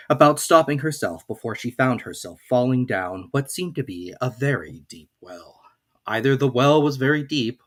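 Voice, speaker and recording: A man narrating a classic children's story in an expressive, theatrical reading style. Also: medium pitch.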